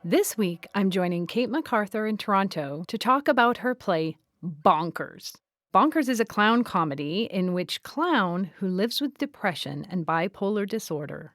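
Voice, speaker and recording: A woman's voice: mid-range voice, animated, moderate pace, dry recording, clean studio sound. A woman hosting a podcast, reading a scripted introduction. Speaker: podcast host.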